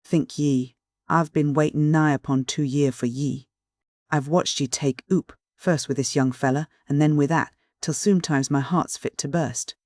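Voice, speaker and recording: Husky northern shepherd guy, blunt and stubborn, a man reading him, dry close sound. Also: a little high, steady tone, normal speed, a little mellow, dry recording, close to an everyday voice. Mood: pleading. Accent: Northern English dialect. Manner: blunt, pleading, dialect-heavy. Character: rough, earnest male shepherd, plain-spoken, stubborn.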